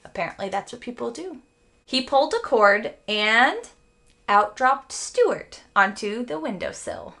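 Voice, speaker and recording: A woman's voice: a little high, a little slow, vocal fry. A woman teacher reading a children's story aloud expressively, as if to young students. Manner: expressive, explains phrases to young listeners.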